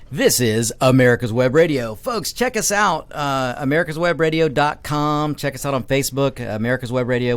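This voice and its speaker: A man's voice: fairly high pitch, clear, resonant tone. A middle-aged man hosting a talk radio show from a studio, giving an emphatic opinion monologue that is full of restarts and repeated words.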